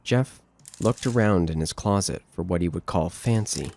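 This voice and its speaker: A man's voice: deep voice, normal speed, vocal fry, a little mellow, slight background noise. A man narrating a scripted horror story.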